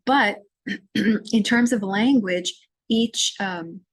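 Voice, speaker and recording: A woman from Syria giving a recorded course lecture, talking in a halting way with many fillers and restarts. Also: medium pitch, husky, no room sound. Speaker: literature lecturer.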